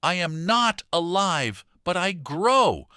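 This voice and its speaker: A man's voice: sits high, very animated, slow pace, pushed and bright, clear, resonant tone, dry recording, clean studio sound, pitched above the performer's own voice, exaggerated voice. A man voicing a solemn lion-bodied guardian in a children's story, grand and commanding as he declaims. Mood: imposing, intense. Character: booming male sphinx guardian, lion-bodied, solemn riddler.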